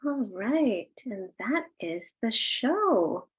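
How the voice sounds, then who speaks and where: A woman's voice: a little high, sing-song, extremely slow. A woman hosting a listening session, talking casually to participants. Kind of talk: announcing and casual event hosting.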